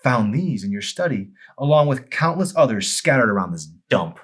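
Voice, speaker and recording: A man's voice: mid-range voice, very animated, moderate pace, husky, voice pushed up. Bitter, scornful wife, read by a man. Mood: scornful, sarcastic. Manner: cutting, scornful, emphatic. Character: bitter, fed-up wife, sarcastic and accusing. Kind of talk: dramatic audiobook character acting.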